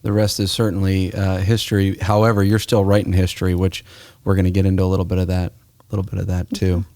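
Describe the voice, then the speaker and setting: An American man hosts a conversational podcast interview. His voice sits fairly low, and he talks reflectively, like someone telling a story. The sound is dry and close, with no room echo. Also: monotone, fast talker, a little mellow. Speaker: podcast host.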